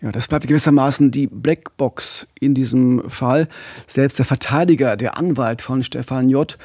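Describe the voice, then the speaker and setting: Gravelly, mellow man telling true crime. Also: medium pitch, normal speed, no echo, clean studio sound. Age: 40s. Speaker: podcast co-host. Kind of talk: true-crime podcast narration. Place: small radio studio. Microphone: close studio microphone with pop filter.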